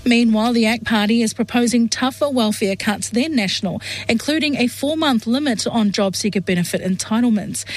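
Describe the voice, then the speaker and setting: A woman reading radio news bulletins in a steady, formal way. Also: on the high side. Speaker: news presenter.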